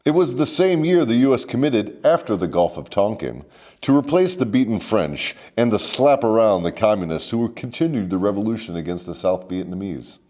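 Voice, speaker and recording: A man's voice: on the low side, animated, moderate pace, darkish tone. A man hosting a scripted comedic military history story, mock-formal and sardonic with salty slang. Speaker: host and storyteller.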